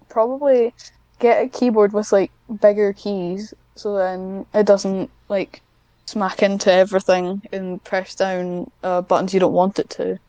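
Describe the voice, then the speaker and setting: A woman talks casually as an interview guest in a smooth, crisp voice recorded very dry. She is hesitant and self-deprecating, feeling her way through answers with a giggly streak. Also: mid-range voice, dark tone, dry recording, rough recording. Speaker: podcast guest, scriptwriter.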